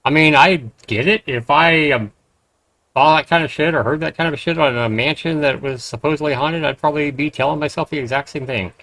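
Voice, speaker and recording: A man's voice: a little high, a little fast, fairly bright tone, dry recording. A man streaming a game, reading its text aloud and adding blunt, profane casual commentary.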